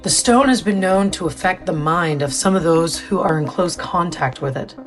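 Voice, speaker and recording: A woman playing an authoritative scientist in an audio drama, explaining things in a formal, measured way. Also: fairly low pitch, faint music behind, close to an everyday voice, low-quality recording. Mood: stern, explanatory. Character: authoritative, formal female scientist briefing a newcomer. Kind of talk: scripted audio drama dialogue.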